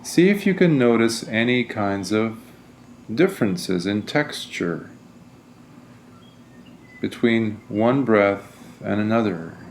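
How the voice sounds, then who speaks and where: A man leading a guided meditation as a teacher, speaking in a gentle, deliberate, soothing manner. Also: on the low side, animated, slight background noise.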